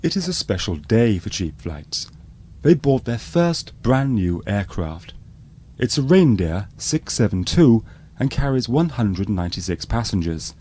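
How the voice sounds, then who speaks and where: A low, mellow male voice narrates a spoof documentary with lively intonation. He plays it earnest and scripted, like docu-soap narration. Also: measured pace, slightly rough, full character voice.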